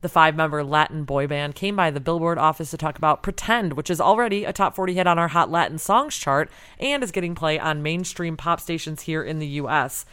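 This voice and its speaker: Woman, podcast host, low pitch, smooth clear tone, upbeat and chatty, office studio. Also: fairly quick, hardly any pauses, a bit bright. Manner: upbeat and chatty, laughs easily. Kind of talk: casual podcast chat and interview.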